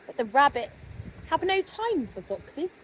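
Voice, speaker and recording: Slow, high-voiced woman reading a storybook. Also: very animated, a little hiss or hum, poor audio. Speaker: storybook reader. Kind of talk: scripted children's story narration.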